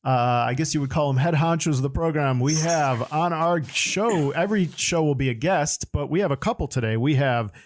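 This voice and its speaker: A man's voice: on the high side, a little fast, few pauses. A man hosting a podcast, interviewing guests in a casual conversational style. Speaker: podcast host.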